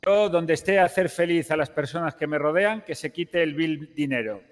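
A man playing a boastful, flattering television wheeler-dealer in an improvised online game roleplay, smooth-talking and theatrical. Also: fairly high pitch, normal speed, big-room sound, close to an everyday voice. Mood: earnest, wooing.

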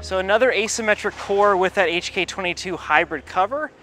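A man's voice: high-pitched, fairly quick, sharp tone, faint music behind. A man in his thirties gives a casual bowling ball review in a large bowling alley, muttering play-by-play between throws. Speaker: pro shop ball reviewer.

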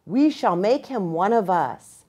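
A woman voicing a stern old buffalo chief in a storybook, proclaiming gravely and deliberately. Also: mid-range voice, expressive, warm tone. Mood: solemn proclaiming.

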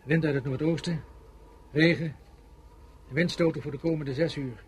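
High-ish, clipped young-rank officer played by a man. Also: fairly even intonation, moderate pace, slight background noise, natural voice. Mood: dutiful, urgent. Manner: clipped military reporting. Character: dutiful male junior officer reporting to superior. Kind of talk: radio drama acting.

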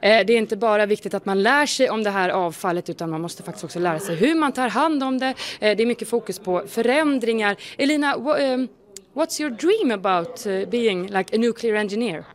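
A woman's voice: medium pitch, animated, fairly quick. A woman reporter narrating a report and interviewing a student.

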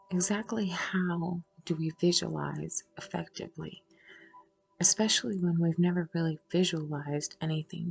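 A woman guiding a meditation in a soothing, unhurried way, with long pauses and breathy phrases that trail toward a whisper. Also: on the low side. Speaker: guided meditation host. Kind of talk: guided meditation narration.

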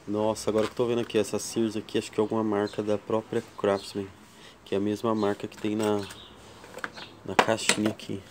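A man from Brazil hosting a casual tool vlog at his workshop bench, slowly counting wrench sizes and chatting to viewers. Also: fairly low pitch, monotone, noisy background. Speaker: tool channel host. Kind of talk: casual show-and-tell vlog.

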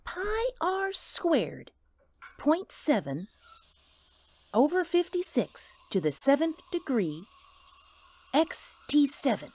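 A woman playing an earnest, excitable little girl with a secretive, menacing streak in an audio drama. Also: sits high, takes it slowly, long pauses, full character voice. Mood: distracted. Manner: childlike, earnest.

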